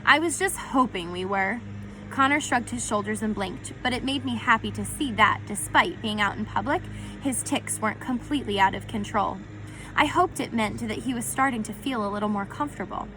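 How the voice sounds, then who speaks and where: A woman reading a children's novel aloud as expressive first-person narration. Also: on the high side, a little fast, faint music behind, low-quality recording.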